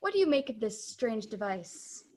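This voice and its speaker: A woman's voice: fairly high pitch, very animated, moderate pace, warm tone. A young woman in her late teens playing an earnest, formal radio news reporter in a comic radio play. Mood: dramatic. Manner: formal, dramatic announcing. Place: home room, on a video call.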